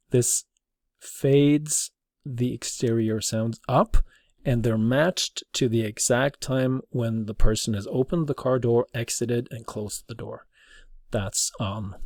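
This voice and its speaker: A man giving an informal tutorial as a teacher, explaining in a halting way. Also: medium pitch, gravelly, darkish tone, no echo, studio quality. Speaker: teacher demonstrating audio production.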